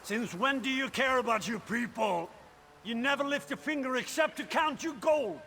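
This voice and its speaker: A gruff, hot-tempered barbarian king. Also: normal speed, sharp tone, slight background noise, heavily acted. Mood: angry. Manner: gruff, contemptuous, booming boasts. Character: hot-tempered, blunt, proud warrior king of northern barbarians. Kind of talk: fantasy game dialogue acting.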